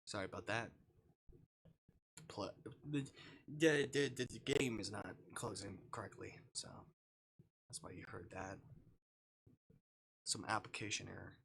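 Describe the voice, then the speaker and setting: A man's voice: on the low side, steady tone, unhurried, gravelly, long pauses, a bit bright, a little hiss or hum. A man chatting casually as a live streamer, muttering and swearing as he goes. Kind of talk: casual livestream chat, reading a visual novel aloud.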